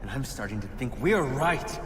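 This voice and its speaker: A man's voice: mid-range voice, expressive, normal speed, lightly acted. An actor playing an idealistic, embittered young male anarchist villain in a video game, preaching in impassioned monologue. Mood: resentful, defiant. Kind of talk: dramatic video game acting. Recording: noisy game capture with long echoing reverb.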